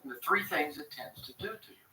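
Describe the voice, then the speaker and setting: A man's voice: a little high, sing-song, fast talker, pushed and bright. A man giving a motivational talk about fear of failure, speaking in an emphatic, conversational way. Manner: emphatic, conversational, "you know". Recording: video played over speakers, distant, echoey and noisy.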